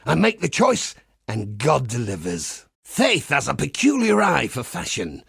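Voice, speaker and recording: A man voicing a pious, wry crusader priest in a video game, with a husky, gravelly voice and a measured, folksy preacher's manner. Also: medium pitch, huge pitch swings, fairly bright tone, no echo, clean studio sound, heavily acted. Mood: reflective, devout, then wry, fond. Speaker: game character. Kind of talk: scripted game character monologue.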